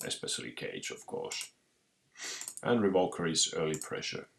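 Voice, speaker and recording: A young man with a Finnish accent who is sick with a cold, commentating his own card game match with many pauses, restarts and throat clearing. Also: deep voice, very animated, fairly bright tone, a little hiss or hum. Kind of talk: casual gameplay commentary.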